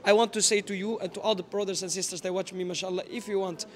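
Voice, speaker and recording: High-voiced man interviewing people on the street. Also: fairly even intonation, fast. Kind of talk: on-camera street interview with Quran recitation phrases. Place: indoor shopping mall. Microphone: small handheld wireless mic.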